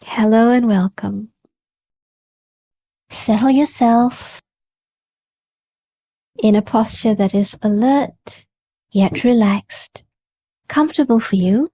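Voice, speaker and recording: A woman leading a guided meditation in a gentle, calm and encouraging manner, leaving pauses between phrases. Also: on the high side, no echo, poor audio. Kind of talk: guided body scan meditation.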